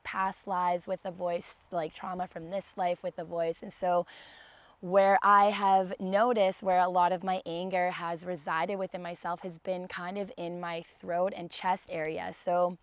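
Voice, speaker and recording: A young woman with a raspy voice, teaching a guided yoga class in a calm, gentle way. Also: lowish voice, no room sound. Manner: calm, gentle guidance with soft sighs.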